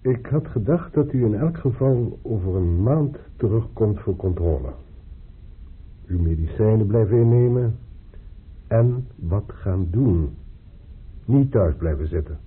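An actor in a radio drama playing a calm, reasoning hospital doctor, measured, polite and gently instructive. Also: male voice, lowish voice, husky, heavily acted. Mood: calmly advising. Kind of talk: Dutch radio drama acting. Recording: muffled, phone-like old radio play recording.

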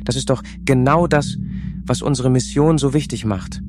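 An actor playing a rational, optimistic and somewhat dismissive space explorer in a science fiction audio drama. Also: male voice, mid-range voice, normal speed, lightly acted. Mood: confident. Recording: music behind the voice.

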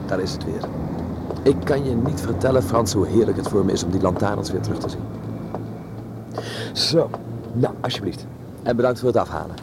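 An actor playing an effusive, sentimental gentleman traveller in a radio drama, exclaiming and savouring his words. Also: on the low side, normal speed, vocal fry, pauses often. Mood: delighted, sentimental. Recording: noisy track with vehicle ambience.

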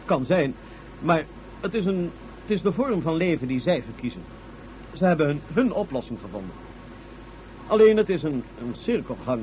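Courtly small-state monarch in old space radio drama, higher male voice, formal n halting, slight hiss. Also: sing-song, darkish tone, low-quality recording. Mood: philosophical. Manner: formal, halting, self-deprecating. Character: courtly ruler of a small independent Martian state. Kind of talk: Dutch science fiction radio drama acting.

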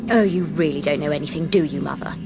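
Defiant young daughter. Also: female voice, low-pitched, fast, over loud music. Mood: defiant, scornful. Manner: accusing, insolent. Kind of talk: BBC radio drama acting.

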